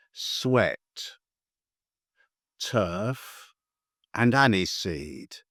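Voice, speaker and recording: A man reading his own literary prose for a podcast, measured and deliberate, leaving long pauses between phrases. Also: fairly low pitch, no echo, studio quality.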